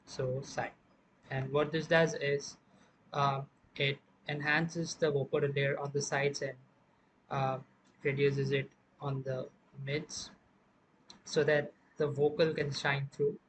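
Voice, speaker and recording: Flat-toned man explaining step by step, noisy background. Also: medium pitch, takes it slowly. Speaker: music production tutorial presenter. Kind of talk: informal screen-recorded software tutorial.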